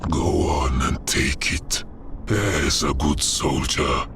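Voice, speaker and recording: A man voicing a malicious older army general who gives slow, mocking commands with scornful relish in a dark war audiobook. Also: very deep voice, vocal fry, a little hiss or hum, exaggerated voice.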